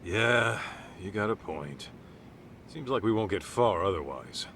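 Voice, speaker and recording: Low-voiced, easygoing detective. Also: male voice, animated, measured pace, noisy background. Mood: polite, resigned. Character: gruff, easygoing middle-aged male private detective. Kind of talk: video game cutscene acting.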